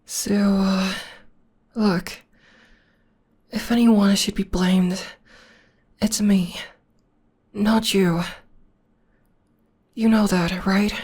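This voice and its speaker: Girl doing a sweet witch gf whispering right up close, breathy and slow, super gentle. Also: mid-range voice, fairly even intonation. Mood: soothing. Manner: soft, often whispered, soothing. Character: gentle young witch girlfriend comforting her demon partner. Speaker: voice actress. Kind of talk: ASMR audio roleplay.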